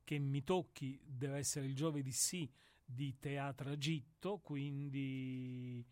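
A man chatting casually as a radio studio guest, halting and repeating his words. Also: mid-range voice, steady tone, darkish tone, no echo.

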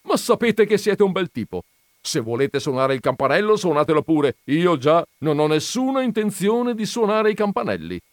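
A man voicing a cranky, stubborn gentleman who argues loudly and loses his patience easily. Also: a little high, very animated, normal speed, no echo, studio quality, voice pushed up. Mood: indignant. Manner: loud, indignant, argumentative.

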